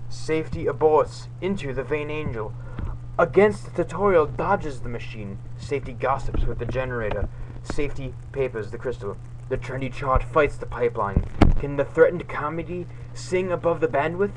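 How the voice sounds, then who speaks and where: A young man giving a mock-dramatic, theatrical reading of scripted text on a noisy webcam microphone in a room. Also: on the high side. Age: young adult. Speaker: amateur video maker reading aloud. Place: small room indoors. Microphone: webcam or laptop microphone, close.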